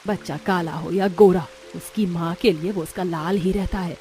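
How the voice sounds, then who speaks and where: Gentle, humble young sister for a cartoon voiced by a woman: soft, patient, pleading. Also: lowish voice, steady tone, normal speed, close to an everyday voice. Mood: tender. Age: young adult. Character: gentle, meek, self-deprecating young dark-skinned sister. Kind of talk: Hindi cartoon story acting.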